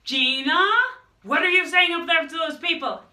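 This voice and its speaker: A woman voicing a gruff father who owns a taco shop and shouts across the room at his daughter. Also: very high voice, moderate pace, reverberant, distant mic, pitched above the performer's own voice, full character voice. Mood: scolding. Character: gruff loud dad, owner of a taco shop.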